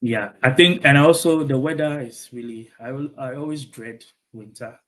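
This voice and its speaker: A young man with a Ghanaian accent, a doctoral student being interviewed over a video call, speaking thoughtfully with many pauses and ums. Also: mid-range voice.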